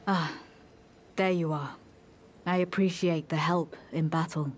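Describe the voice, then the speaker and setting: Fantasy game knight, zealous and solemn; lower register, archaic courtly phrasing, polite menace, reverberant sound with faint noise. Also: female voice, steady tone. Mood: calm, courteous. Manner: measured, solemn, formal. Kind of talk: video game voice acting, formal archaic dialogue.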